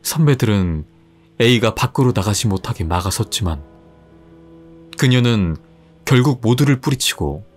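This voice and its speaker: A man narrating a horror story in a measured, suspenseful style. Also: on the low side, animated, husky. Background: faint music.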